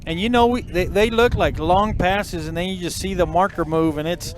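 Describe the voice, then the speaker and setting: High-voiced joking football announcer man. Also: normal speed, poor audio. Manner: folksy, joking, addresses the folks. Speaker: play-by-play announcer. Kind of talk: high school football commentary. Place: outdoors at a football game.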